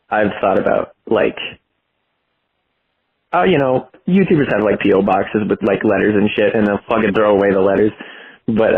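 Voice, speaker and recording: Over a narrow phone line, a young man with a low, husky voice rambles through a casual voicemail. He sounds hesitant and informal, working out his thoughts as he goes. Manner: hesitant, informal, thinking aloud. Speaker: voicemail caller.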